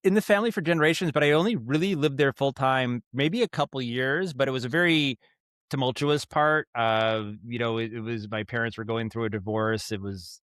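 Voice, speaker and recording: An American man speaking as a podcast guest, telling stories in a casual, rambling and animated way. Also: mid-range voice, brisk, no echo, studio quality. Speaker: podcast guest, audio drama writer and producer. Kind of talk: casual interview storytelling.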